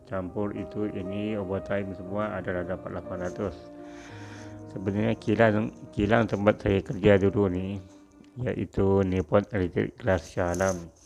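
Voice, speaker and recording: Low, gravelly Sabahan man reminiscing. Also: a little mellow. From Sabah, Malaysia. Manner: reflective, unhurried, with frequent fillers. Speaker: former factory worker telling his story. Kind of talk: personal storytelling.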